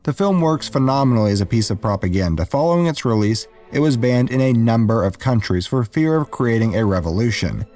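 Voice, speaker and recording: A man narrates an educational video essay in scripted, explanatory style. His voice sits at a medium pitch, with music playing behind it. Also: animated, a little fast, hardly any pauses, darkish tone.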